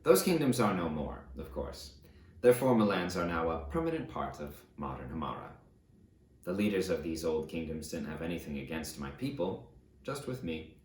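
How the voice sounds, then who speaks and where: Male reader as a defiant conqueror-king, low voice, declamatory, self-justifying storybook memoir, echoey room. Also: very animated, normal speed, darkish tone, exaggerated voice. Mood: defiant, grim. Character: proud, defiant conqueror ruler recounting his rise. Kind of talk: dramatic first-person audiobook narration. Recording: roomy, echoey sound.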